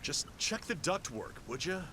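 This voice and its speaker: Exasperated pilot, high and forceful. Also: male voice, very animated, normal speed, slight background noise. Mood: irritated, indignant. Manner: indignant, griping, emphatic. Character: exasperated, rugged adult male test pilot. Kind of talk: video game cutscene acting.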